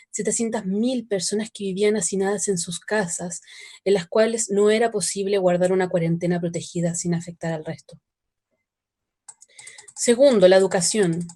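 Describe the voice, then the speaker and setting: A woman's voice: medium pitch, dark tone, lightly acted. A woman playing an earnest radio news reporter who reads a scripted report. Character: earnest female radio news reporter looking back on 2020.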